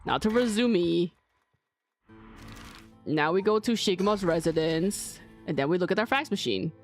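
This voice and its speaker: Animated woman doing a chatty let's play. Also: fairly low pitch, measured pace, faint music behind. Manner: chatty, animated, talks back to the game. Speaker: host of a video game let's play. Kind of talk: casual game commentary mixed with reading dialogue aloud.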